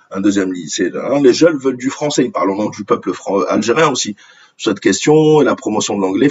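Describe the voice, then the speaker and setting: A man from Algeria whose mother tongue is Arabic delivers opinionated commentary on the news. His voice is rough and husky, sitting a little low. He sounds indignant and hammers phrases by repeating them, on a slightly muffled, lo-fi recording. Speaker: online commentator. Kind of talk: opinionated commentary on news articles read aloud.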